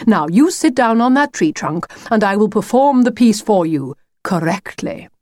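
A woman's voice: mid-range voice, animated, moderate pace, a little mellow, close to an everyday voice. A woman voicing an effusive, fussy male talking wolf in a children's story, grandiose and chummy. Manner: grandiose, fussy, old-fashioned endearments. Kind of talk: children's storybook character reading.

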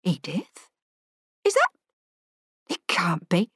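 A woman voicing a frail, soft-spoken elderly lady who murmurs gently. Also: lowish voice, huge pitch swings, slow, slightly rough, dry recording, studio quality, full character voice. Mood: disbelieving.

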